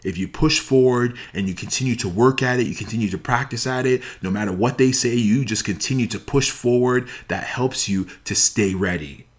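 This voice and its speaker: A man's voice: mid-range voice, moderate pace, few pauses. A man speaking as a motivational speaker, emphatic and repeating key phrases to drive them home. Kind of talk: motivational talk.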